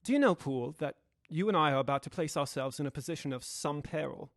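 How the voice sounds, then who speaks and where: A young man playing a grave, dutiful Victorian gentleman lawyer in an audio drama, with a formal and deliberate delivery. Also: on the high side, expressive, no room sound, studio quality. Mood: resolute. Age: young adult.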